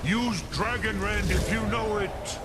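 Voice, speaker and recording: A man playing a wise old dragon in a video game, calm and resolute, with weighty pronouncements. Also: high-pitched, a little slow. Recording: loud background noise.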